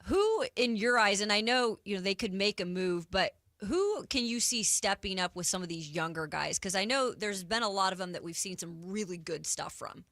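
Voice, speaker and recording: A woman's voice: mid-range voice, animated, normal speed, few pauses, fairly bright tone, dry recording, clean studio sound. A woman co-hosting a sports radio show, asking questions in a casual, conversational way.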